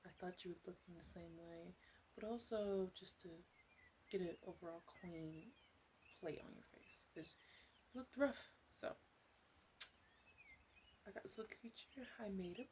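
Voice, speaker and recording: A woman playing a flirty, caring witch in an ASMR roleplay, soft-spoken and often whispering, with a gentle, intimate, hesitant way of talking. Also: on the low side, a little slow, pauses often, a little hiss or hum, natural voice. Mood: doting, playful.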